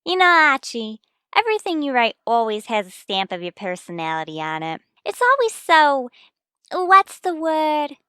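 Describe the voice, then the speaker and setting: A sassy, brash young woman, voiced by a woman, in old-time radio comedy style. Her high, bright voice swoops widely as she fires back comebacks. The sound is close and dry. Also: big pitch swings, normal speed, no echo, heavily acted.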